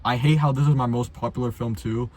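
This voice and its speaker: A man's voice: medium pitch, fairly even intonation, fast talker, darkish tone. A young adult man chatting casually and unscripted as a video host, blunt, self-deprecating and full of slang and swearing. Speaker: amateur filmmaker, video host.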